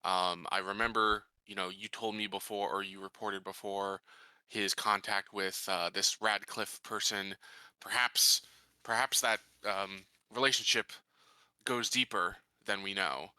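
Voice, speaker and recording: A man playing a calculating colony leader in a tabletop game, speaking in a deliberate, formal, halting way. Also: on the low side, steady tone, bright, forceful tone, smooth, dry recording. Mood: approving. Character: measured, calculating male colony leader giving orders.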